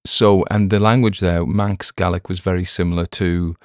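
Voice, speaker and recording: Deep-voiced rambling man from Cumbria. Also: steady tone, dry recording, clean studio sound. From Cumbrian coast, England. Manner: rambling, halting, many fillers and restarts. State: recovering from a cold. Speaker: story reader and podcast host. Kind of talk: casual spoken commentary between readings. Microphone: headphones on, home recording.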